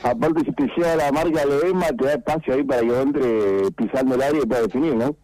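A man's voice: medium pitch, fast, dark tone, dry recording, rough recording. A man with an Argentine accent, hosting a radio sports interview, asking long rambling questions full of fillers. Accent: Argentine Spanish.

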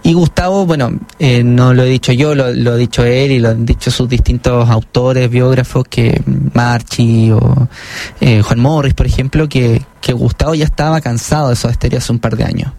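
A Chilean man speaks as an author guest in a radio interview, with a voice of middling pitch. He talks thoughtfully and at length, pausing on fillers and often restarting his phrases. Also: steady tone, warm tone. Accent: Chilean Spanish.

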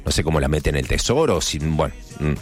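A man's voice: a bass voice, expressive, brisk, soft background music. A man from Argentina with a Córdoba accent, hosting a casual morning radio show with chatty, joking asides. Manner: chatty, jokey asides, playful mock voices. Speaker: radio host.

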